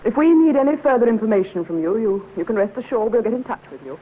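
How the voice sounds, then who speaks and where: An actress playing a brisk, coolly polite police sergeant in a formal 1950s radio drama style. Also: female voice, a little high, expressive, natural voice. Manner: formal, businesslike, clipped. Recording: tinny, noisy 1950s radio recording.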